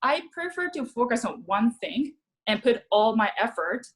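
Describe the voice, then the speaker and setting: A woman from Japan, speaking English with a Japanese accent, telling her story as an actress guest in a casual interview, chatty and quick to laugh. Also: on the high side, very animated. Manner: chatty, laughs often, restarts sentences.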